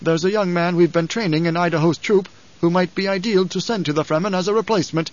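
A man voicing a loyal old advisor in an audiobook, grave and deferential, with a measured, formal, hesitant delivery. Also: high voice, muffled recording, voice pushed up, lightly acted. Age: older.

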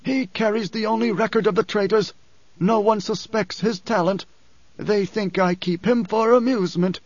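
Audiobook role: frail old male warrior done by a man, raised pitch, gasping wheeze, urgent and halting, slightly dull sound. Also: steady tone, no room sound. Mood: urgent, stronger.

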